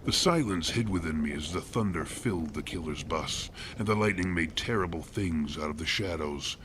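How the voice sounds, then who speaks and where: An actor playing a cold, menacing killer who narrates his own horror tale in formal, ornate, measured language. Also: deep voice, a bit bright, a little hiss or hum. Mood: brooding. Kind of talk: scripted first-person horror narration.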